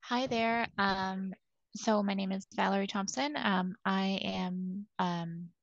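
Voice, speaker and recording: A woman's voice: medium pitch, fairly even intonation, slow pace, no echo. A woman from Toronto, a patient education specialist, introducing herself on a video call with frequent ums. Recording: dry, muffled video call audio.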